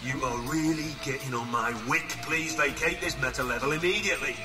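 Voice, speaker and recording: A man's voice: medium pitch, brisk, far from the mic, music in the background, natural voice. A man voicing an officious, exasperated public warning voice from a virtual world in an audiobook, formal and bossy. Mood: irritated. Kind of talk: announcement in an audiobook.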